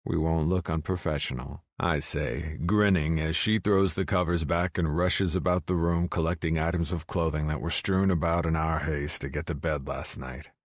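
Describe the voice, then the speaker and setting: Male audiobook narrator, low register, first-person romance, dry recording. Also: fairly even intonation, moderate pace, few pauses, no echo.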